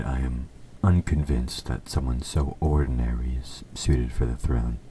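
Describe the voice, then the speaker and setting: Evil fantasy king for audio drama, played by a man: extremely deep, dark tone, measured courtly diction, smooth-talking with quiet menace. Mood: cool, calculating. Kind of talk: scripted audio roleplay addressed to the listener. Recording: faint background noise.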